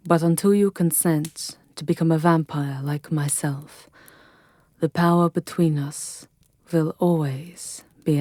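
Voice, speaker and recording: A woman's voice: sits low, warm tone, dry recording, studio quality. A woman playing an ancient, possessive vampire lover in an intimate ASMR roleplay, haughty and often whispering. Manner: slow, breathy, haughty, often dropping to a whisper.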